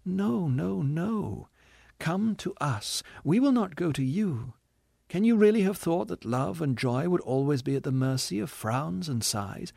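A man voicing a gentle, radiant woman who speaks in a soft, earnest, pleading way. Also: on the high side, expressive, measured pace, vocal fry, dry recording, studio quality, pitched above the performer's own voice, full character voice. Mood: calm. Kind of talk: audiobook character reading.

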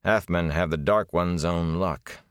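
A man doing a grim, quiet warrior. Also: sits low, no room sound, studio quality. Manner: quiet, gruff, measured. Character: grim stern male warrior bodyguard.

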